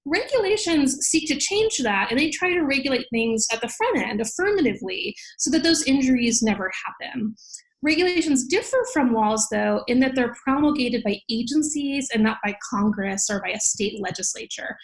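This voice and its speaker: American woman, bit higher pitched, prof explaining stuff clearly on a podcast interview, very organized. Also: expressive, normal speed, low-quality recording. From United States. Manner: articulate, organized, explains in plain examples. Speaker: law professor, podcast guest.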